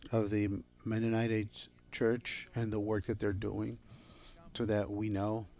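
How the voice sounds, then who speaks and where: Guy with a gravelly voice doing church announcements, stops and restarts a lot. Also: on the low side, completely flat delivery, a little mellow. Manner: halting, self-correcting. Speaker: church leader introducing a guest. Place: church sanctuary.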